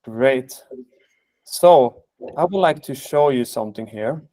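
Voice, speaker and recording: A man's voice: mid-range voice, animated, slow, warm tone, no echo. A man with a Swedish accent hosting a webinar over a video call, friendly and full of fillers. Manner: friendly, hesitant with many fillers. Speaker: webinar host. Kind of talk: casual webinar chat.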